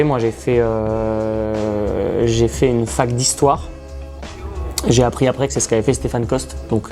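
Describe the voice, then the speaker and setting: A man's voice: lowish voice, mellow. A man in his thirties from France, a racing commentator, answering interview questions in an earnest, hesitant way. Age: 30s. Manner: earnest, self-described shy, frequent hesitations.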